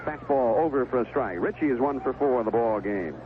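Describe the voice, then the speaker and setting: Animated American baseball announcer on tinny vintage radio. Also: male voice, mid-range voice, a little fast. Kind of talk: live sports commentary. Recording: vintage 1970s AM radio broadcast, tinny, noisy.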